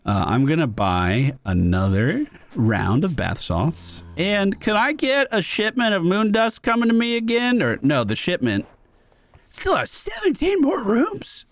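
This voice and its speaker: A man's voice: high voice, wildly swooping pitch, measured pace. A man giving casual gameplay commentary, talking to himself and his viewers and swearing now and then. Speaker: gaming streamer.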